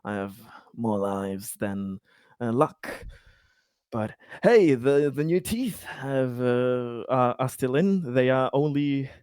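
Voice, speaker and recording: A man roleplaying a paranoid, injured space colonist who speaks in a halting, sardonic, profane way. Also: mid-range voice, lively intonation, darkish tone, smooth, no echo, clean studio sound. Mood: wry, weary. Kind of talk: in-character tabletop roleplay.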